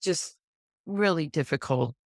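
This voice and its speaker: A woman's voice: low-pitched, animated, a little slow, creaky, no echo, studio quality. A middle-aged American woman talking emphatically in a conversational interview, often pressing points with repetition and rhetorical questions. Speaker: podcast guest, pediatrician.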